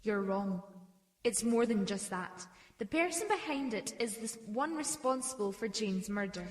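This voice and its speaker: A woman's voice: fairly high pitch, lively intonation, unhurried, echoey room. A young woman playing a composed, assured private detective in a mystery audio drama. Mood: firm, accusing. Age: young adult.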